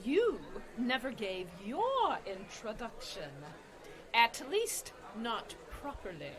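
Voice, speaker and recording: A woman's voice: on the high side, wildly swooping pitch, slow pace, fairly bright tone, full character voice. A woman playing a devout, formal healer with proper speech in a comedy radio play. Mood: insistent.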